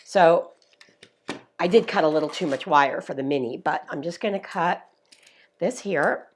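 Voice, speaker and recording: A woman's voice: deep voice, a little slow, a little hiss or hum. A woman hosting a live craft tutorial, explaining each step in a chatty, upbeat way, her voice a little worn. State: slightly losing her voice. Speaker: craft demonstrator, show host.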